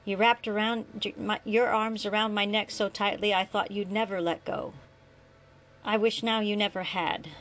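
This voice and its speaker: A woman voicing a guilty middle-aged father, speaking softly and coaxingly to the son he abandoned. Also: mid-range voice, fairly even intonation, moderate pace, lightly acted, low-quality recording.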